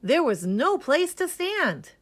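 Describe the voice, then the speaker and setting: Female children's storyteller: unhurried, expressive rhythmic reading, mid-pitched, highly animated. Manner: rhythmic, dramatic emphasis on key words. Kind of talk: expressive children's read-aloud.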